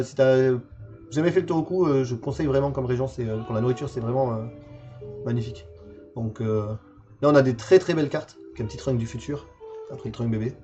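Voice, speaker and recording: A man's voice: medium pitch, darkish tone, dull audio. A man in his forties chatting casually on a livestream while showing games from his collection, hesitant and full of fillers and repeated words. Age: 40s. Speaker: streamer presenting a game collection.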